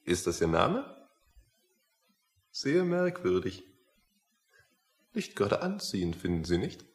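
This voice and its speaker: Haughty visitor, radio satire: low male voice, very deliberate, faintly menacing, strongly inflected. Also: sing-song, heavily acted. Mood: brusque. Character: self-important, faintly menacing male visitor demanding an audience. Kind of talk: satirical German radio play dialogue.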